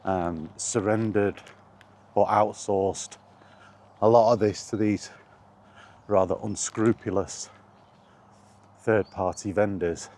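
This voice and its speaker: A man's voice: lowish voice, steady tone, takes it slowly, long pauses, a little mellow. A British man giving a rambling, casual vlog chat in a blunt, opinionated manner. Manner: opinionated, blunt, mildly sweary. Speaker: video blogger.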